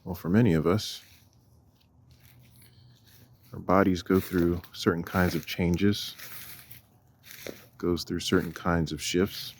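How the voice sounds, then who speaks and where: A man leading a guided walking meditation in a deliberate, measured manner, with long pauses and a husky voice. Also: sits low, steady tone, a little hiss or hum. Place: outdoors on a walk.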